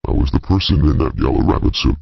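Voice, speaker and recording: A man acts out a brazen male kidnapper for an audio drama. The voice is extremely low and thick with gravel, mockingly polite and casual while withholding. The sound is dull and lo-fi. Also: fast, no echo, exaggerated voice. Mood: calmly brazen. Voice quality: gravelly, husky, very deep. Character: brazen, taunting male child kidnapper calling emergency line. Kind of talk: amateur audio drama acting.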